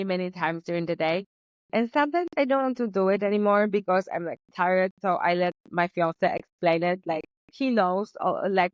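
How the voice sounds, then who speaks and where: A young woman, speaking in a lowish voice as a guest on a podcast. She talks earnestly and fills her sentences with lots of "like" and "um". The sound is dry and a little muffled. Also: no echo. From Poland. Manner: earnest, with frequent fillers. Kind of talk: conversational interview.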